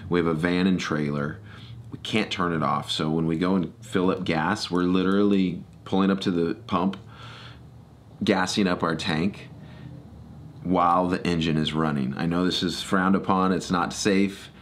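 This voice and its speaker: A middle-aged American man talks casually into a close studio microphone as a podcast host. His voice is very low. He rambles and corrects himself as he goes, with faint noise behind him. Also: fairly even intonation, moderate pace. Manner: rambling, self-correcting storytelling. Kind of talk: casual solo podcast chat. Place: small home studio room. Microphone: close boom-arm podcast microphone.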